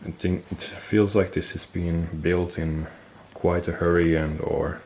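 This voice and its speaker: Low gravelly man with a stuffy nose. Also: a little slow, a little hiss or hum, poor audio. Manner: relaxed, thinking aloud with fillers and self-corrections. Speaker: military gear collector and video host. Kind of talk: casual show-and-tell explanation.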